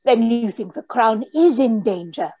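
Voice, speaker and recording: A woman's voice: on the high side, animated, measured pace, dark tone, slightly rough, heavily acted. A woman playing an anxious, genteel young fiancée in old-time radio mystery style, with a pleading manner. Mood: nervous, pleading. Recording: dull video-call audio.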